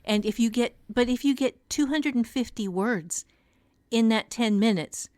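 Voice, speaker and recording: A woman's voice: fairly high pitch, normal speed, a little mellow, no room sound, studio quality. A woman author and writing teacher, a podcast guest, giving practical, encouraging advice in casual conversation.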